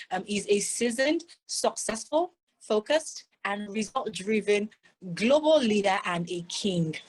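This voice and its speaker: A woman's voice: mid-range voice, poor audio. Effusive woman moderating a conference. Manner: effusive, enthusiastic. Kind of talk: conference hosting.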